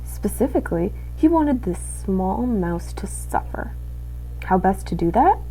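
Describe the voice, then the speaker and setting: A young woman, a student, reading a storybook aloud in expressive scripted narration. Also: mid-range voice, a little slow, a little hiss or hum, low-quality recording. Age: young adult.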